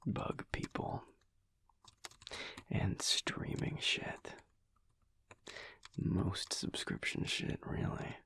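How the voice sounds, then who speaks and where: A man playing a teasing, affectionate werewolf husband in an intimate audio roleplay, murmuring in a breathy, gravelly, hushed drawl. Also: sits low, no echo, heavily acted. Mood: wry grumbling. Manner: slow, hushed, wry drawl with pauses.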